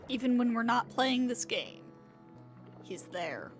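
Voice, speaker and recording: A woman's voice: fairly high pitch, sing-song, takes it slowly. A woman livestreaming a video game, giving casual commentary and reactions. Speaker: game streamer. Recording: game music behind the voice. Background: video game music.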